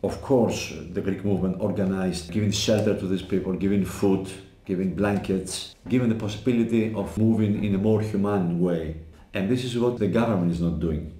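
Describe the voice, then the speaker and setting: A man's voice: low-pitched, fairly even intonation, moderate pace, dark tone. A man interviewed indoors, speaking earnestly about a crisis with slightly non-native phrasing. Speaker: activist interviewee. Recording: roomy echo, faint hiss.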